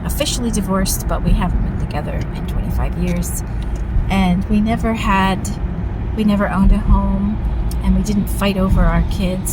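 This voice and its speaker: A woman, telling her life story frankly in a rambling vlog, full of filler ums. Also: medium pitch. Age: 50s. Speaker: vlogger. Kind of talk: candid personal storytelling. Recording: loud car noise behind voice.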